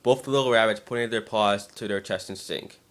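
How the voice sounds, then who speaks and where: A man with a fairly low voice reads a fan-fiction tale. He narrates in an expressive, dramatic storytelling manner, occasionally tripping over his words. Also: moderate pace.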